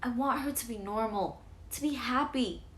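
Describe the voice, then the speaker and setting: A woman's voice: a little high, normal speed, a little hiss or hum, voice pushed up, lightly acted. A woman voicing a worried, stern mother in a children's story, insistent and fretful.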